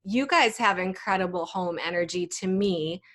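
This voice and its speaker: A woman's voice: fairly low pitch, normal speed, fairly bright tone. A woman interviewer chatting casually, with appreciative and loosely phrased informal talk. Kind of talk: casual chat.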